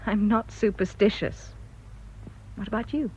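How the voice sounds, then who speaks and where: A woman's voice: medium pitch, measured pace, a little mellow, close to an everyday voice. An actress playing a self-assured, worldly English woman in a radio drama, teasing and probing as she talks. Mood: wry, probing. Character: brisk, inquisitive, worldly married woman in television. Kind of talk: British radio drama acting. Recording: dull, noisy old radio drama recording.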